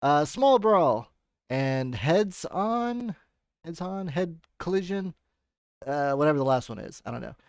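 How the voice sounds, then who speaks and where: Male game streamer, slightly high voice, loose self-correcting chat, very dry close sound. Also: animated, measured pace, no room sound. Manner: informal, rambling, self-correcting. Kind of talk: casual watchalong stream chat.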